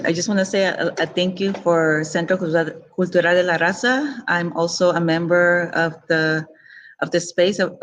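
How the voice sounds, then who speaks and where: Organizer giving halting closing thanks. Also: medium pitch, slight background noise. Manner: halting, repeats words. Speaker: community organizer. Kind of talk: casual closing remarks.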